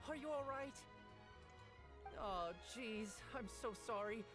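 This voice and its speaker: A man's voice: very high voice, slow, music in the background. A man playing an apologetic young newspaper seller in a video game, hesitant and eager to please. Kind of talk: anime-style video game voice acting.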